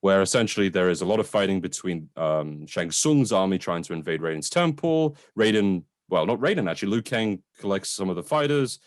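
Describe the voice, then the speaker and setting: A man's voice: low voice, animated, fairly quick, few pauses, no echo, clean studio sound. A man hosting a podcast, chatting casually and enthusiastically about a film in an informal way. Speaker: podcast host. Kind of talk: casual film review chat.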